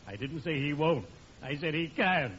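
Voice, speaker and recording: An actor playing a solemn yet morbidly jolly undertaker, formal and drawn-out, in 1940s radio comedy. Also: male voice, a little high, lively intonation, over a noisy crowd, close to an everyday voice. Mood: mournfully jolly. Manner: formal, deliberate, drawn-out delivery. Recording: muffled, very noisy old radio recording.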